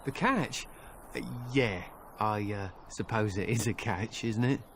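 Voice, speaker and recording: An actor playing a nervous, chatty merchant who rambles and wheedles over game music. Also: male voice, on the low side, measured pace, background noise. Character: nervous chatty male merchant, middle-aged. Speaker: merchant character.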